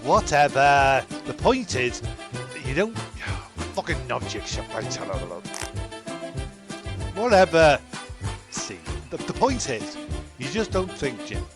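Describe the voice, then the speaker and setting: A man's voice: medium pitch, sing-song, slow, long pauses, music in the background. A man playing an irritable, cheeky, foul-mouthed castaway in a comedy radio play. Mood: irritated. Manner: blunt, swearing.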